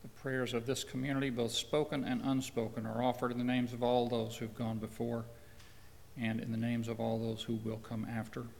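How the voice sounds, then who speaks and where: A man leading the congregation in prayer, speaking softly and solemnly. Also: mid-range voice, monotone, creaky, long reverb tail. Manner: soft, slow, solemn. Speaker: worship leader. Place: church sanctuary.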